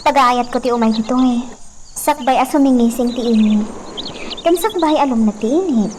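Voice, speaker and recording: A woman's voice: a little high, moderate pace, darkish tone, a little hiss or hum, lightly acted. A woman with an Ilocano accent playing a sweet young country maiden being courted in a radio drama. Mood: sweet, dreamy.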